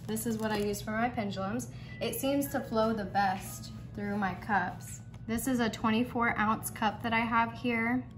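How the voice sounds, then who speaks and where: A woman's voice: on the high side, steady tone, measured pace. A young woman hosting a casual do-it-yourself walkthrough in her home garage, chatty and informal, recorded on a phone camera. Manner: chatty and informal, says y'all. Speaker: painting channel host. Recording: clear background music underneath.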